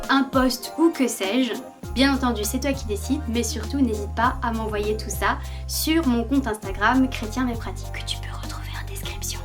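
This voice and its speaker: A woman hosting her own video channel, explaining step by step in a scripted announcement and speaking directly to the viewer. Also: on the high side. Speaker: channel host.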